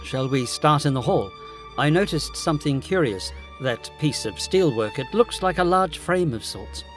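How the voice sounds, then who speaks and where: An actor playing a curious, decisive Victorian gentleman in a gothic audio drama. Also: a little high, expressive, normal speed, faint music behind, close to an everyday voice. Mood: intent.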